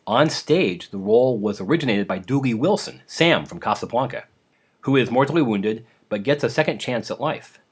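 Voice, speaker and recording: A man's voice: medium pitch, lively intonation, moderate pace, slightly rough. A man hosting a podcast, introducing old radio programs in an informal, prepared style. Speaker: podcast host.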